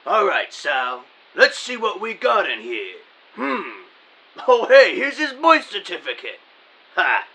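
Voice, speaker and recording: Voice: medium pitch, huge pitch swings, slow, sharp tone, slightly rough, exaggerated voice. An actor playing a bossy, irritable male thug in a comic audio drama, scolding in old-time mobster slang. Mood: mocking, curious.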